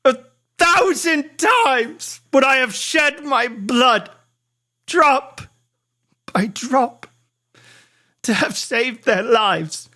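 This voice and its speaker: A narrator voicing an anguished, guilt-ridden gentleman scientist, declaiming theatrically with drawn-out, impassioned despair. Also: high-pitched, animated, voice pushed up, full character voice. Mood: frantic, desperate.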